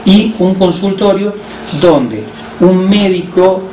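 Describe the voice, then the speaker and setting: A man's voice: sits high, warm tone, a little hiss or hum. Argentine man on a distant, roomy mic. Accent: Argentine Spanish. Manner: measured, explanatory, with frequent hesitations. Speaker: hospital director. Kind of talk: explaining health measures on radio.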